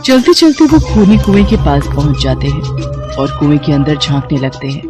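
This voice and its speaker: Storybook narrator, mid pitch, telling a fairy tale with music playing behind. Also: very animated, normal speed. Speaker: narrator of animated fairy tales.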